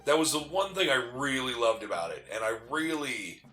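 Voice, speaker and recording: A man co-hosting a gaming show, talking casually in a halting way with many digressions. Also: medium pitch, sing-song, pushed and bright. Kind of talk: casual chat during video game commentary. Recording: roomy echo, game music behind.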